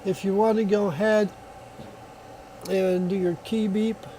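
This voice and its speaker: A man's voice: high-pitched, little pitch movement, unhurried, dark tone, slight background noise, low-quality recording. A man giving a casual hands-on product demonstration, explaining step by step in a plainspoken way. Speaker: product reviewer.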